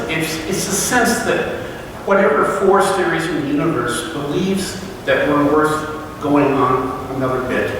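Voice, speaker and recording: A man giving a personal reflective talk to a fellowship, halting and searching for words with frequent restarts. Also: a little high, noisy background. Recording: echoey hall from far off.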